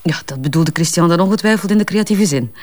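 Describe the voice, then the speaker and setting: An actress with a Flemish accent playing a brisk, candid advertising strategist who gets defensive under police questioning, in a naturalistic crime drama. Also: female voice, fairly low pitch, lively intonation, darkish tone, natural voice. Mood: defensive, assured.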